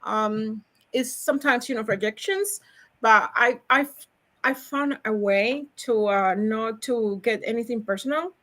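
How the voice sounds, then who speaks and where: A woman with a Cuban Spanish accent, a native Spanish speaker, talking about her logistics work in a podcast interview with lively, upbeat energy and frequent laughs. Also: a little high, measured pace. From Cuba. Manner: energetic, upbeat, laughs often, repeats words. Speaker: logistics salesperson, guest.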